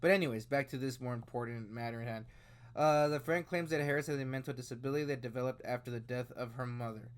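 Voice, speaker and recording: A man's voice: medium pitch, fast talker, smooth. Rambling twentysomething man reacting to news. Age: 20s. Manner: rambling, conversational, with frequent fillers and restarts. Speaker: video host reacting to news. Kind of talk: casual commentary.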